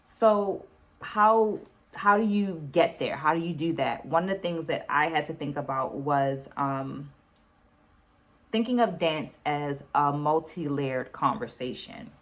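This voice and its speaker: A middle-aged woman talking to viewers in a reflective book vlog, speaking articulately and deliberately, repeating phrases for emphasis. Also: low voice, fairly bright tone. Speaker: book reading vlogger, dancer and educator. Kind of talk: reflective book-takeaway vlog, semi-scripted talk to viewers.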